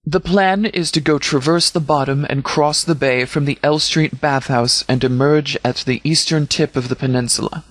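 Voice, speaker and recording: A man's voice: on the high side, fairly bright tone, no echo. Stern, composed sheriff, acted by a man, dry studio sound. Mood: businesslike briefing. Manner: measured, formal, authoritative. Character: stern, composed male sheriff leading a post-apocalyptic militia. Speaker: sheriff and commander in a video game. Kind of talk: scripted video game dialogue.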